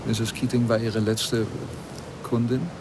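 A man's voice: mid-range voice, level tone, slow, heavy background noise, close to an everyday voice. An actor playing a probing, insistent male lawyer who tells his own story in a German radio play, with a dry, pressing manner. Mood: pressing, sceptical. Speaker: radio drama actor, narrator.

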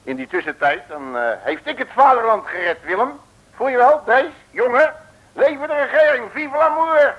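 An actor playing a cocky, boastful detective who talks in brash, bossy slang, in the style of a 1950s radio comedy serial. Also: male voice, very high voice, lively intonation, moderate pace, a bit bright, heavily acted. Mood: cocky, familiar. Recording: dull old radio recording.

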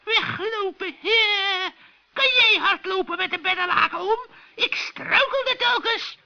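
Old female forest witch for a children's radio play, played by a man: extremely high, piercing, panicky, wheedling; dull vintage recording. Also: unhurried, vocal fry, pushed and bright, full character voice. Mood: flustered. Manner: panicky, pleading, stammering.

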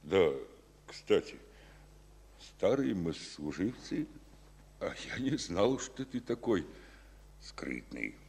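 An actor playing a gruff but fatherly Soviet general in a war radio drama, speaking haltingly and with emotion. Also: deep voice, sing-song, darkish tone, in a large hall, full character voice. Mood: quiet, fatherly.

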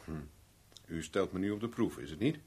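A self-assured private investigator in a seventies radio play. His pitch swings widely as he probes with dry irony, and the voice has a slightly husky, rough edge. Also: animated, fairly quick, a little mellow. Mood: dry, sly. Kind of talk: 1970s Dutch radio drama acting.

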